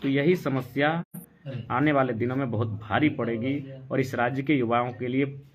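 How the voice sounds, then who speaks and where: A man's voice: medium pitch, moderate pace. A man from Jharkhand with a Jharkhandi Hindi accent, speaking as an activist in an insistent, argumentative live political talk. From Jharkhand, India. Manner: insistent, argumentative, full of rhetorical questions. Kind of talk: impassioned political talk on a live stream, answering comments. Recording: dull, lo-fi sound.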